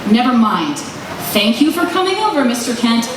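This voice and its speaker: A feisty young female reporter from an old radio serial, acted by a woman, insistent and easily exasperated. Her high voice swings widely and rings through a very echoey, noisy room. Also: sing-song, normal speed, distant mic. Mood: indignant. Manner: exasperated, sharp-tongued, insistent. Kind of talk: 1940s radio acting. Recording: roomy, noisy live stage recording from afar.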